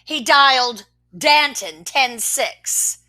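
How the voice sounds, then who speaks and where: Woman reading a picture book aloud, high voice, very slow, bright, lively pitch, expressive with whispered asides. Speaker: children's story reader.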